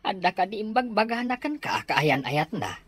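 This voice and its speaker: A woman's voice: medium pitch, sing-song, moderate pace, breathy edge. A woman acting a practical older spouse who chides and reassures in a radio drama. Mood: chiding, reassuring.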